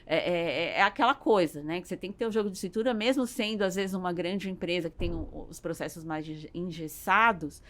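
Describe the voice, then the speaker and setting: A woman's voice: on the low side, a little fast, few pauses, a little hiss or hum. A Brazilian woman speaking as a business owner in a conversational interview, searching for words and often adding tag questions. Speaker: podcast guest, business owner.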